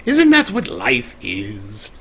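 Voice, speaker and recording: Ancient male jester-spirit played by a man, gravelly and husky, slow and swooping, theatrical. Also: lowish voice, huge pitch swings, a bit bright, slight background noise, heavily acted. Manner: theatrical, flamboyant, rambling. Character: centuries-old male pony-world trickster, theatrical jester. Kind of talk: live roleplay monologue to an audience.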